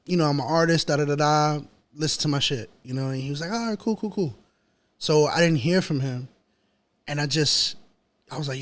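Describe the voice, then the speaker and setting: A New York man with a voice a little on the high side, speaking as a hip-hop artist in a relaxed interview. He tells stories casually in his local accent, with lots of slang and repeated filler phrases like a habit. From New York City. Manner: slangy, repetitive, peppered with "you know" and "yo".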